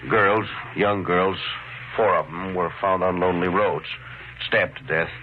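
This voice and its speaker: Rustic, blunt police chief, very low voice on a tinny vintage radio recording. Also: male voice, steady tone, unhurried, a little hiss or hum. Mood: blunt, grave. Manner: rustic, blunt, folksy grammar. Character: plain-spoken, folksy small-town police chief. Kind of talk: 1950s radio drama acting.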